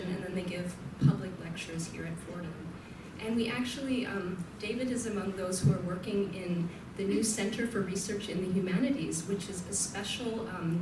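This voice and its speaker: A woman, a research librarian, introducing a lecture in a friendly tone with occasional fillers. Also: fairly low pitch. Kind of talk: event introduction. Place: lecture hall. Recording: echoey hall from far off, noisy.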